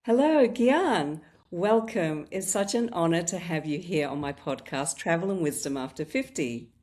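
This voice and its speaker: A woman's voice: on the low side, lively intonation, moderate pace, a little mellow. A woman in her fifties hosting a podcast, reading a welcoming intro and then asking interview questions in a conversational way. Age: 50s. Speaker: podcast host.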